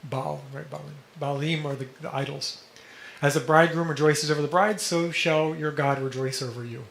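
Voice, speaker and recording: A man teaching a study group, explaining texts and often checking in with listeners, a little hoarse and clearing his throat. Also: fairly high pitch, steady tone, normal speed, fairly bright tone. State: slightly hoarse, coughing. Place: indoor room with a vent. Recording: echoey room with background noise.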